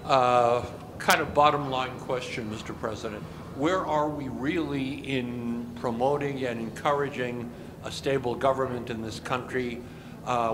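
A man with a mid-pitched voice speaks as a journalist at a briefing. He builds his question haltingly, rephrasing as he goes. The room's noise is clearly audible. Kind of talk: press question, off the cuff. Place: large indoor press area. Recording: noisy press room ambience.

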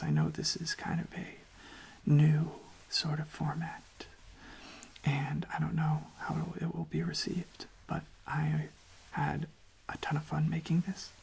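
A young man speaking softly in a gentle, unhurried, hesitant way as an ASMR host. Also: a little high, gravelly, husky. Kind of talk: soft-spoken whispered ASMR.

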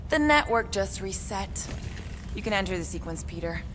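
A woman playing a cool, dry alien assassin in a video game, measured and matter-of-fact. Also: medium pitch, lively intonation, noisy background, natural voice. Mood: cool, businesslike. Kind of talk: video game character acting. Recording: loud music behind the voice.

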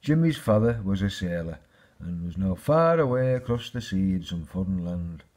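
A man with a husky voice, reading a children's fairy tale aloud as a storyteller. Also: low voice, expressive, moderate pace, a little mellow.

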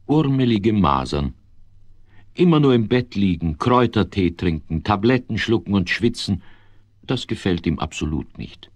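A man playing a genial narrator, expressive and playful, in a scripted children's story. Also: lowish voice, moderate pace, no room sound.

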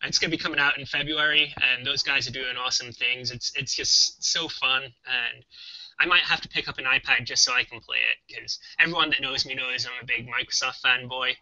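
A man's voice: medium pitch, fairly even intonation, a little fast, sharp tone, no room sound, lo-fi sound. A young man, a game developer, co-hosting a casual live stream, talking hesitantly with many ums, on a webcam mic.

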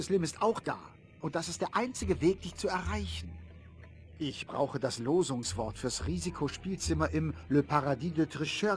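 An actor playing a small, polite robot secret agent in a video game, speaking in a formal, precise, earnest way. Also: male voice, a little high. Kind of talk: acted video game cutscene dialogue.

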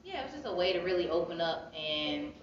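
A woman's voice: fairly low pitch, big pitch swings, fairly quick. A young American woman talking casually and reflectively as an interviewed author, recorded from a few feet away in an indoor gallery. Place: indoor art gallery, seated against wall. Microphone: phone camera several feet away. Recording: echoey room, distant mic, dull sound, crowd noise.

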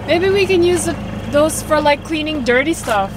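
A woman's voice: high voice, lively intonation, normal speed, far from the mic, background noise. A woman chatting casually as a travel vlogger, filming a camping road trip. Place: in a car and outdoors on a road trip. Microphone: camera mic, behind the camera. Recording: loud music, off-mic camera audio in car and outdoors. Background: music, vehicle sounds.

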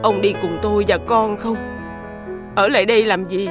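A woman's voice: medium pitch, fairly even intonation, normal speed, over music, lightly acted. A woman playing a distressed, weary mother fallen from riches in a fairy-tale cartoon, plaintive. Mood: bitter, sorrowful.

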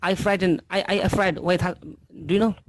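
A young man with a Nepali accent, talking fast on a live video call into a headset mic. He jokes around in broken English, and his voice sits in a middle range. A faint background noise hums beneath him. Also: high voice, steady tone. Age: 20s. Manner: joking, rapid, broken English. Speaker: live-stream host. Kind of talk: casual joking video call chat. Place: room at home. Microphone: headset with boom mic.

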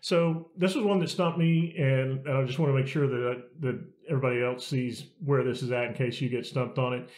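A man in his forties giving a casual how-to talk on camera from his home office, relaxed and conversational, often restarting his sentences. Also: mid-range voice, a little fast, few pauses. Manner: relaxed, conversational with frequent restarts and repeated words. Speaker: software consultant. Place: home office with bookshelves, indoors. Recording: roomy echoey small room.

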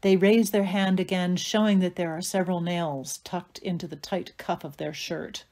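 A woman performing as the narrator of a dark fairy tale audio play, in scripted dramatic narration. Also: on the low side, steady tone, moderate pace, close to an everyday voice. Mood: measured narration.

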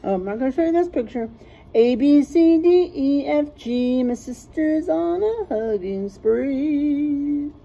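A middle-aged woman reading a rhyming picture book aloud in a teacherly way, pausing to point out word pairs. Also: sits high, animated, vocal fry, warm tone, dull audio. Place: small classroom, indoors.

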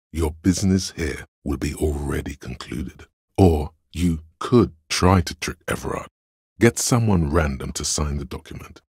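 An actor voicing a cool, analytical inner voice of logic in a detective game, narrating in a measured, dryly wry way. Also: male voice, rumbling low, darkish tone, breathy edge, dry recording, studio quality, full character voice. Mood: wry, calculating. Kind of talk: scripted second-person game narration.

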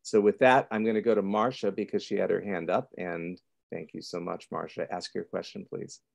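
A man's voice: low voice, steady tone, brisk, creaky. An older man informally hosting a virtual book launch, speaking on a video call from a home office. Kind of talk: informal event moderating.

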